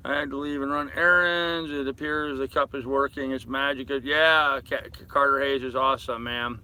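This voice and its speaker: A man's voice: medium pitch, fairly even intonation, moderate pace, sharp tone, clean tone, poor audio. An older man hosting a casual live chat from a boat, rambling as he reads and answers comments and addresses viewers familiarly. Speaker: live-stream host. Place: aboard a boat at anchor.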